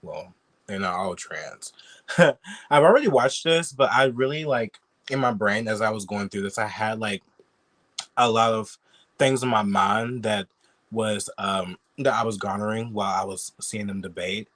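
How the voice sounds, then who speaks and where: A man's voice: fairly low pitch, measured pace, a bit bright. A man who is sick and sniffly, chatting bluntly and casually as a YouTube reaction commentator. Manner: chatty, blunt, swears freely.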